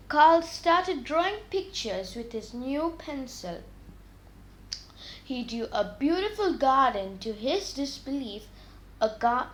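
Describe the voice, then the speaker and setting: High-voiced woman reading children's stories. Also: rough recording. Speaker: children's story reader. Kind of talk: read-aloud scripted storytelling with morals.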